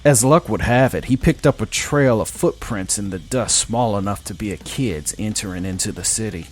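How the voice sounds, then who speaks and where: A man's voice: fairly low pitch, moderate pace. A man narrating a scripted science fiction story in a dramatic style.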